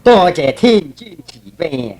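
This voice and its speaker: A man playing a brash, quick-witted male monkey hero in theatrical, emphatic Cantonese radio drama style. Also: a little high, big pitch swings, a little mellow. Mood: respectful.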